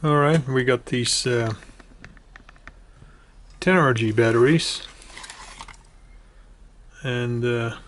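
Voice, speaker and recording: A man presenting an informal hands-on do-it-yourself tutorial, explaining step by step in a halting way and repeating his instructions. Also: medium pitch, fairly bright tone. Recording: clearly noisy home recording with clicks.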